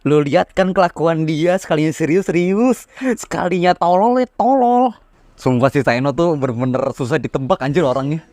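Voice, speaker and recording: A man's voice: on the high side, fairly quick, a little mellow, dry recording. A young man with a Jakarta Indonesian accent giving casual, playful, slangy gameplay commentary. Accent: Indonesian, Jakarta colloquial. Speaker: game streamer. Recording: game music behind the voice.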